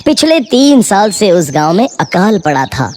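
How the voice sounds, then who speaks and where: A woman's voice: medium pitch, sing-song, unhurried, a little mellow, slight background noise. A woman narrating a scripted moral story for children as a storyteller. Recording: music behind the voice.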